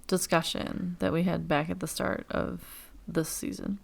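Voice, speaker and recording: A woman from Illinois hosts a relaxed teachers' podcast, rambling and chatty with plenty of fillers. Her voice sits on the low side and carries a gravelly, fry-laden texture. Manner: chatty, rambling, quick asides with many fillers. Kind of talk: casual chat.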